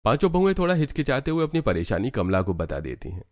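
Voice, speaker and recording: A man's voice: medium pitch, very animated, quick pace, darkish tone. A man narrating a scripted cartoon story.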